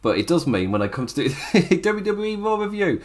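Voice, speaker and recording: A man with a strained, raspy voice hosting a casual wrestling review podcast, rambling with frequent chuckles and self-corrections. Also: high voice, very animated, a little fast. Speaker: wrestling show host.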